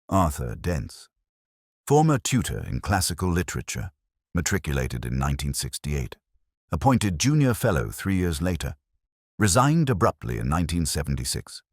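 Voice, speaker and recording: A man narrating a first-person detective mystery in a measured, literary, brooding manner. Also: very deep voice, big pitch swings, no echo, studio quality.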